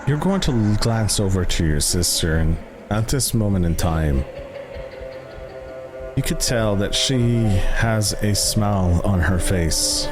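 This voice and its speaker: Slow, suspenseful male game master. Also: on the low side, lively intonation, over music. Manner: deliberate, suspenseful. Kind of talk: dramatic scene narration for tabletop roleplay.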